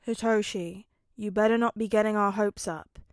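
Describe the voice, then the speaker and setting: A woman voicing a weary, grumpy dad who grumbles in a dry, exasperated deadpan for an audio story. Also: medium pitch, moderate pace, no echo, close to an everyday voice. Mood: wary.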